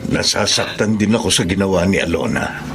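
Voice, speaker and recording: Low-voiced, gentle, troubled father. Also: fairly even intonation, a little fast, creaky, music in the background. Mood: pained. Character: caring, troubled husband and father. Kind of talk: radio drama acting.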